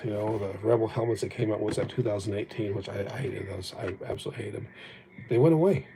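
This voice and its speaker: A man whose first language was Japanese rambles through a reflective livestream chat, now and then poking fun at himself. His low voice is mellow and gravelly. A faint hiss sits under the sound of an old phone mic. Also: rough recording. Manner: rambling, reflective, self-deprecating. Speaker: livestream host.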